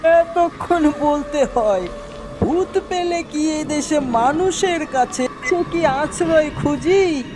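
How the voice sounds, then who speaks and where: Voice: sits high, slow pace, over music, voice pushed up. A storyteller voicing bold, undaunted young men who banter and argue cheekily in a dramatised horror story. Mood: bold, mocking.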